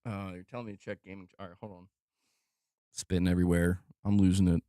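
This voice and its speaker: A man commenting casually on gaming news during a live stream, animated and joking, often laughing and drifting into asides. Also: low voice, fast talker, no room sound, clean studio sound.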